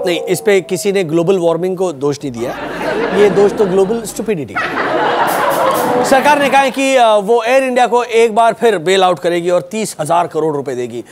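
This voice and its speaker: A man's voice: sits high, moderate pace, over a noisy crowd, very noisy. A man hosting a satirical news comedy show, mocking the news playfully and chuckling at his own jokes. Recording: loud studio audience and music. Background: audience laughter and music.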